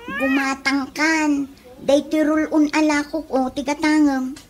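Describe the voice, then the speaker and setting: A woman's voice: sits high, level tone, a little slow. A woman playing a pushy, blunt peddler in a comedy radio drama, speaking with an Ilocano accent in a coaxing, teasing way. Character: pushy, blunt female peddler of perfume and lotion.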